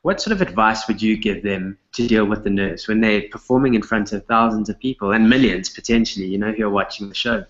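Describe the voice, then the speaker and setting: A man's voice: fairly low pitch, a little fast, few pauses. A man hosting an interview, upbeat and easygoing, with short affirming replies. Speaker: interview host. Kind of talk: casual interview chat. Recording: dull lo-fi sound.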